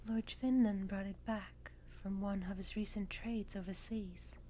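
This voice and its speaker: A woman playing a devoted, gentle Regency lady's maid in a whispered ASMR roleplay, speaking in a hushed, formal, deferential manner. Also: medium pitch, steady tone, unhurried, a little hiss or hum, close to an everyday voice. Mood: reverent, attentive. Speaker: ASMR performer. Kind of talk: whispered ASMR roleplay, scripted period narration.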